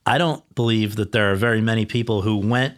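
A man hosting a podcast interview, asking questions and chiming in with quick affirmations. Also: fairly low pitch, steady tone. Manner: eager, affirming with quick yeahs. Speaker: podcast host. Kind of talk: conversational interview.

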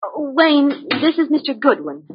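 A woman's voice: sits high, big pitch swings, measured pace, noisy background. An actress playing an anxious, polite young society woman, hesitant and worried, in old radio drama style. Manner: hesitant, worried, well-mannered. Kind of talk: 1940s-50s American radio drama acting. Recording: muffled old-time radio recording.

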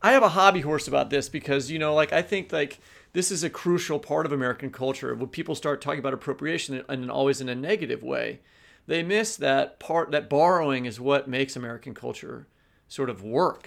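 A man's voice: mid-range voice, fairly quick, creaky, fairly bright tone. A man co-hosting a literary podcast, discursive and restarting sentences as he builds his point. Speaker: podcast co-host, teacher.

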